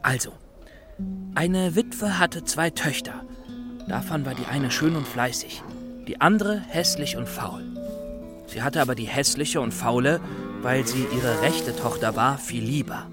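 A man's voice: medium pitch, a little slow, over music, close to an everyday voice. A man playing an earnest, easily flustered young scholar and storyteller in a children's radio play. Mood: storytelling. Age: young adult. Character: anxious, bookish young male scholar and storyteller. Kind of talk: children's radio drama acting.

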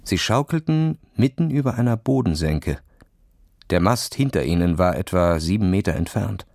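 A man's voice: sits low, expressive, normal speed, vocal fry, a little mellow, no echo, clean studio sound. A man reading a scripted story for children as a narrator. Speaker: audiobook narrator.

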